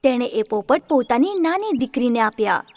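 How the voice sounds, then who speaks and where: A woman telling a children's story with a lively, expressive delivery. Her voice sits very high and swings widely up and down. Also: moderate pace. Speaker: narrator.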